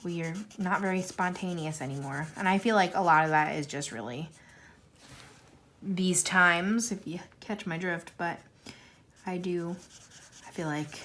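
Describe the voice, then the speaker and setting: A woman in her thirties talks casually to camera in a slightly low voice. She rambles, fills pauses with "like" and "I don't know," and makes fun of herself as she goes. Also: a bit bright. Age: 30s. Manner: rambling, self-deprecating, chatty with many fillers. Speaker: YouTuber answering a tag questionnaire. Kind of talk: casual chat while coloring.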